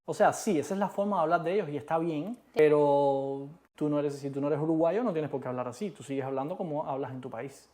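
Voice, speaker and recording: A man from Cuba with a Cuban Spanish accent, co-hosting a casual chat in an emphatic, animated way. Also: on the high side, fast, few pauses. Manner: emphatic, animated, repeats rhetorical questions. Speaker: co-host.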